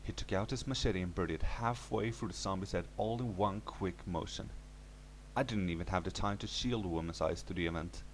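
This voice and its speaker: A man's voice: low voice, a little fast, a little hiss or hum. A man reading a scripted first-person horror story as its narrator.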